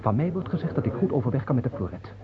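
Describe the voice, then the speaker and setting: Guy doing a slimy scheming viscount in an old radio play, lively intonation, dull muffled sound. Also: medium pitch, rapid-fire, a crowd in the background, close to an everyday voice. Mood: scheming, conspiratorial. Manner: servile and hesitant, conspiratorial among accomplices. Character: obsequious, scheming courtier viscount plotting against his king. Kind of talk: theatrical radio drama acting.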